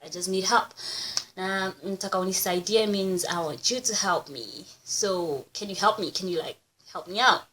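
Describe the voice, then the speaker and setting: A woman's voice: fairly low pitch, moderate pace, a bit bright, a little hiss or hum. A young woman from Kenya whose first language is Kikuyu, chatting on camera in a casual, self-conscious and upbeat way as she teaches phrases. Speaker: YouTuber.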